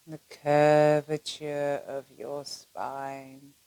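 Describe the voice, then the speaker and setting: Slow, low-voiced woman guiding relaxation. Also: little pitch movement, clear, resonant tone, no echo. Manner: soothing, gentle, with long pauses between phrases. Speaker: yoga nidra teacher. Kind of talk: guided relaxation meditation.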